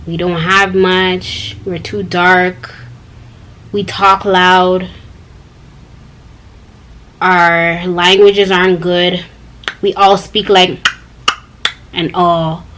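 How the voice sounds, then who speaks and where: Chatty young woman, low voice. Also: little pitch movement, extremely slow, long pauses, a bit bright, low-quality recording. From Canada. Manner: rambling, chatty, talks straight to viewers. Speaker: vlogger. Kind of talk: casual personal vlog talk.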